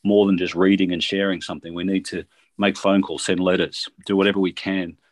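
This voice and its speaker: A man's voice: deep voice, little pitch movement, a little fast. A man co-hosting a talk show, urging listeners to take action.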